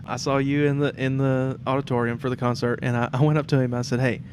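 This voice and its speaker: Man, medium pitch, podcast host, informal chat, faint room noise. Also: little pitch movement, fast, a little mellow. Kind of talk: casual, informal interview chat. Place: temporary studio in a theatre. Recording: background noise and room ambience.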